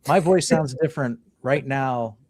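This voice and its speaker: A man's voice: medium pitch, measured pace, darkish tone, breathy edge, dry recording. A man hosting a casual live-stream chat, reading viewer comments aloud and chatting in an easygoing way. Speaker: host. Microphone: home video-call setup.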